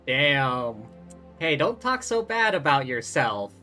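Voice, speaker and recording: A man's voice: mid-range voice, sing-song, slow pace, bright, forceful tone, faint music behind. A man livestreaming a game, giving casual, chatty commentary in an informal way.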